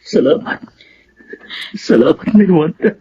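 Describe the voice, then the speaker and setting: A man's voice: fairly high pitch, expressive, a little slow, dark tone. A man playing a subdued, hesitant grown son in a Filipino radio drama, speaking respectfully. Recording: dull, noisy lo-fi broadcast.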